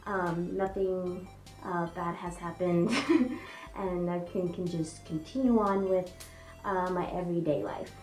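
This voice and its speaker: A woman's voice: fairly low pitch, slow pace, darkish tone. Chatty young woman in a fursuit head, muffled, lots of ums, echoey little room, faint music. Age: young adult. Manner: bubbly and chatty, with many fillers and restarts. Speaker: vlogger. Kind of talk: casual vlog to camera. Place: small room indoors.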